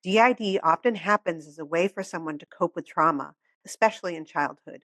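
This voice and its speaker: A woman hosting a podcast interview, giving calm, measured explanations and then sharing her own experience. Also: fairly low pitch, no room sound. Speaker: podcast host.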